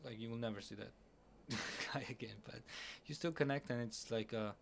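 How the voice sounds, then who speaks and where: A man's voice: medium pitch, normal speed, creaky, a little hiss or hum. A young man hosting a casual podcast interview at a conference, enthusiastic, with many fillers and restarts. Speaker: podcast host. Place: busy conference hall.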